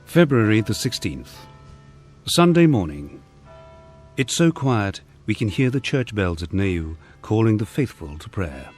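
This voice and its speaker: A man's voice: fairly low pitch, big pitch swings, measured pace, darkish tone, soft background music. A man reading a humorous first-person memoir aloud as scripted audiobook narration. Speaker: audiobook reader.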